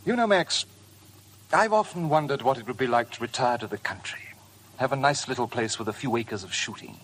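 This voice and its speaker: An actor playing a smug, suave blackmailer with an insinuating, mock-polite manner in a 1950s radio drama. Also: male voice, mid-range voice, lively intonation, fairly quick, fairly bright tone, close to an everyday voice. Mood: smug, needling. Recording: noisy old 1950 radio broadcast.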